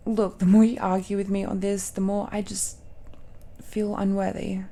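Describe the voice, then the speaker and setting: A woman playing an affectionate, possessive dragon-blooded girlfriend in an intimate romantic audio roleplay, speaking haltingly with breathy murmurs. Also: mid-range voice, level tone, close to an everyday voice. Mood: overwhelmed, unworthy. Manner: hesitant, halting, with breathy murmurs and soft laughs.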